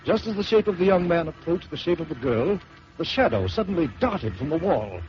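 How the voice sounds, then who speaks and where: A man narrating a first-person horror story in dramatic old-time radio style, swelling and dropping to hushed tones. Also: on the high side, sing-song, brisk. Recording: dull lo-fi old radio recording with hiss.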